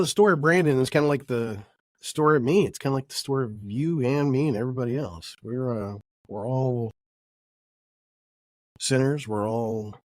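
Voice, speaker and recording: A middle-aged podcast host sounds stuffy and nasal from a cold. He muses aloud in a loose, wandering way, doubling back on his words. His voice sits at an ordinary pitch in a dry, close recording. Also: no room sound. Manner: reflective, rambling, self-correcting. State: has a cold. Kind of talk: casual podcast monologue.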